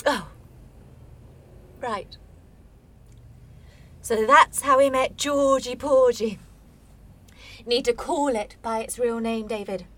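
Blunt, bitter woman, higher-pitched voice. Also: takes it slowly, pauses often, a bit bright. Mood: probing, stern. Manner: blunt, probing, sardonic. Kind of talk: radio play dialogue.

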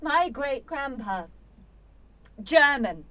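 An actress playing a guarded, blunt young student in a radio drama, speaking in a clipped, defensive way. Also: female voice, high-pitched, animated, extremely slow, sharp tone, no room sound, exaggerated voice. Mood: defensive, upset. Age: young adult.

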